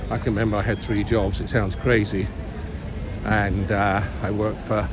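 A man's voice: fairly low pitch, little pitch movement, normal speed. An older man in his late sixties or above chatting casually and ramblingly to viewers as he walks outdoors through a city. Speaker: live-streaming city walk vlogger. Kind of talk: casual rambling chat to viewers while walking. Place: outdoors on busy city streets. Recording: clear street and traffic noise. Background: urban street ambience, vehicles.

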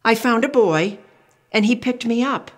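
An older woman reading a picture book aloud to children, animated and exclamatory, asking the young listener questions as she goes. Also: fairly high pitch, measured pace.

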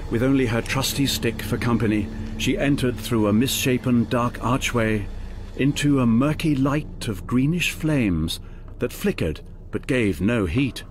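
An actor as the narrator of a radio drama, telling a fantasy story in measured, scripted storybook style. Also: male voice, mid-range voice, a little hiss or hum, natural voice. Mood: descriptive, atmospheric. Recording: music under the narration.

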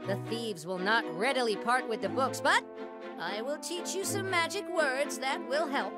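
A man voicing a small, anxious, theatrical creature who keeps magic books in an animated game. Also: extremely high pitch, moderate pace, over music. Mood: grandly earnest. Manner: theatrical, flustered, grandiose. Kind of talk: animated game cutscene voice acting.

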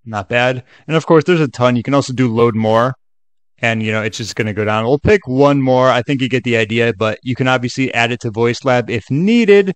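Casual tutorial guy, chatty w little jokey asides, dry close mic, normal pitch. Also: a little fast, dry recording. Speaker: tutorial video host. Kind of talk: casual screen-share walkthrough.

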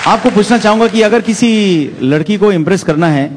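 A man's voice: sits high, brisk. A man hosting a TV show, asking questions in an upbeat way. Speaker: host. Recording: noisy studio with crowd.

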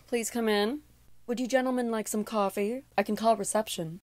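An actress playing a composed, calculating femme fatale in an audio play, polite and nonchalant in manner. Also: female voice, fairly high pitch, fairly even intonation, normal speed, a little mellow, slight background noise, close to an everyday voice.

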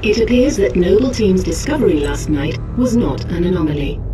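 A woman acting a composed military briefer in a video game. Her voice is fairly low, formal and measured, with music clearly playing behind it. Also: expressive, close to an everyday voice. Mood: grave, composed. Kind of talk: scripted military briefing narration.

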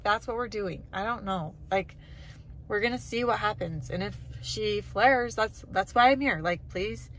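A woman's voice: a little high, slight background noise. Chatty woman vlogging from her car. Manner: chatty, acts out quoted voices animatedly. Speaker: family vlogger. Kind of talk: casual vlog talk to camera. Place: parked car, daytime. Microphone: phone camera held close.

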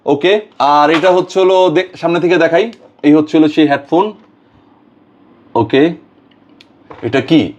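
A man's voice: fairly high pitch, a little slow, pauses often, a little mellow, dull audio, a little hiss or hum. A Bangladeshi man hosting a casual product demonstration, mixing English words and phrases into his talk. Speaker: product reviewer and video host.

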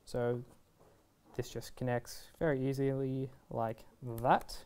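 A young man presents a how-to guide in a casual voice. He explains each step in an easygoing, reassuring manner, at a medium pitch. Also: fairly even intonation, slow, darkish tone, clear, resonant tone. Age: 20s. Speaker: product reviewer and presenter. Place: indoor shop video studio, standing at a table.

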